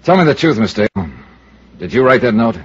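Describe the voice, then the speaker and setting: A man's voice: medium pitch, lively intonation, a little fast, husky. A muffled 1950s radio recording of a hard-boiled American male investigator. His mid-range voice moves up and down a lot while staying dry and wry. The lines are clipped and sardonic. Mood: probing, dry. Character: wry, cool male freelance insurance investigator. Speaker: lead actor and narrator of a radio detective serial. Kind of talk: 1950s radio detective acting, first-person narration.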